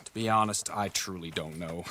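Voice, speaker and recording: A man's voice: low voice, normal speed, noisy background, close to an everyday voice. A man playing an earnest, courteous army captain in a video game, speaking formally and politely with cautious, dutiful care. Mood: troubled, frank. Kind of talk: dramatic video game acting.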